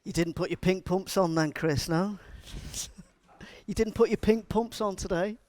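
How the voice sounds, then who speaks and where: A man's voice: high-pitched, unhurried, a little mellow, dry recording. A middle-aged man from Liverpool with a Scouse accent, speaking live to a conference audience in a chatty, joking way and asking them questions. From Liverpool, England. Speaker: conference speaker and agile coach. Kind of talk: live conference talk to a room.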